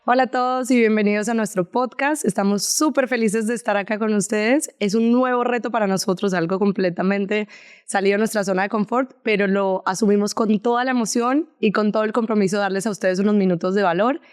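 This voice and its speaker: A Colombian woman in her thirties speaks with a Colombian accent as a podcast co-host. Her voice is mid-pitched and smooth. She welcomes listeners with plain enthusiasm. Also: steady tone, fairly quick. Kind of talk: casual podcast chat.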